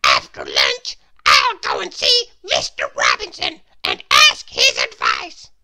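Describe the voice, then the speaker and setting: A man's voice: very deep voice, wildly swooping pitch, slow, gravelly, sharp tone, voice pushed down, full character voice. A man voicing a tiny, polite, earnest little storybook man with a strained, partly whispery, theatrical voice. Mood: eager.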